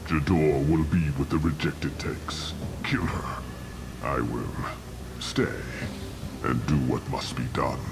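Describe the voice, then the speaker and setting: A man voicing an arrogant, ruthless old alien warlord scientist in a video game, speaking with grandiose, deliberate contempt. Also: rumbling low, very noisy, full character voice. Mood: grim resolve. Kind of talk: video game dramatic acting.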